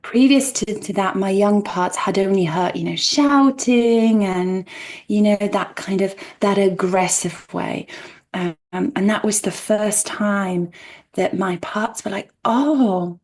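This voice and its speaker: A woman whose first language is Polish, speaking as a therapist guest in a thoughtful podcast conversation and laughing softly now and then as she explains. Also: mid-range voice, takes it slowly.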